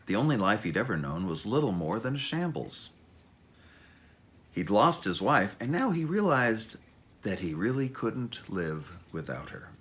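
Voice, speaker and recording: Low-voiced man with sweeping, animated pitch. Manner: halting, self-interrupting, wry asides. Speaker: author reading from own memoir. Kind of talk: informal video talk with dramatic reading.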